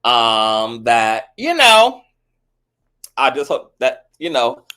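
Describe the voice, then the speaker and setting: A man's voice: medium pitch, sing-song, slow pace, bright, forceful tone. Sassy man hosting a livestream. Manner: sassy, calls everyone girl and honey. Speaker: host of a commentary livestream. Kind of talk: casual chat with viewers.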